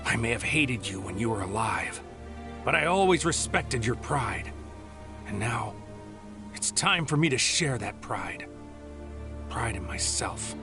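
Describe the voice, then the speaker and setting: A man playing a proud, righteous young warrior hero in a dramatic anime video game, with intense delivery. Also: mid-range voice. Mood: solemn, proud. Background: dramatic music.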